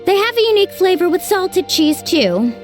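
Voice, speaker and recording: A woman's voice: very high-pitched, big pitch swings, normal speed, faint music behind. A woman voicing a spirited, cocky young hero in a video game, brash and playful. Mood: playful, opinionated.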